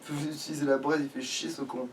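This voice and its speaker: A man's voice: on the high side, little pitch movement, brisk. A man giving casual, sweary, grumbling commentary while playing a video game. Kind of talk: casual let's play commentary. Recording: distant mic, faint background noise.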